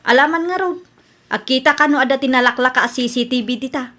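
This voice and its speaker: A woman's voice: high voice, quick pace, sharp tone. A woman with an Ilocano accent playing a fed-up, accusing wife in a comedy radio drama. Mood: indignant. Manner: complaining, exclamatory.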